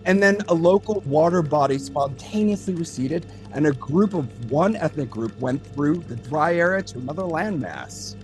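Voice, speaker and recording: An actor playing an experienced, thoughtful male alien data center technician in an audio drama, explaining things in a measured way. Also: fairly high pitch, animated, soft background music, natural voice, poor audio.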